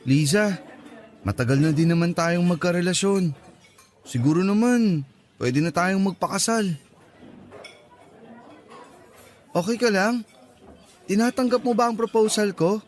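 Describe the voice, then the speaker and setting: A man's voice: high voice, moderate pace, pauses often, slight background noise, natural voice. A man playing an eager young boyfriend. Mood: earnest. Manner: playful, teasing, eager. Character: playful young boyfriend proposing marriage. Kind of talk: radio drama acting. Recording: soft music underneath.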